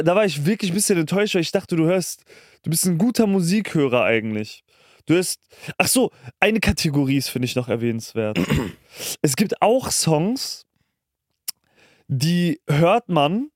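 Slangy young man, higher-pitched voice. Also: expressive, measured pace, pauses often, no room sound, clean studio sound. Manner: slangy, colloquial youth speech. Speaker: podcast co-host. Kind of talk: casual chat.

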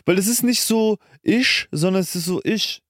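A man's voice: high-pitched, moderate pace, no room sound, studio quality. A young man chatting casually as a podcast co-host, in colloquial, slangy youth speech. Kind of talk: casual chat.

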